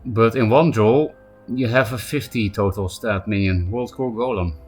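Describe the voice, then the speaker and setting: Low-voiced Polish-accented man streaming. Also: breathy edge, faint music behind. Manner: chatty, answers chat by name, quick asides. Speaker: game streamer. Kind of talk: casual live-stream chat while playing.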